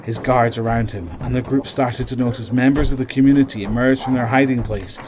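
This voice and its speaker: A man's voice: medium pitch, steady tone, fairly quick, noisy background. A man narrating a scripted audio drama in a dramatic storytelling style. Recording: dramatic music bed behind voice.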